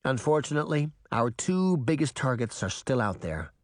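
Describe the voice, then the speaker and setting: A young storybook heroine, read by a man in a mid-pitched voice, determined and worried. The lines are earnest and troubled, with expressive pitch movement. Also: moderate pace, dry recording, studio quality, natural voice. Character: determined, worried young girl heroine, weary but defiant. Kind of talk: audiobook character voice.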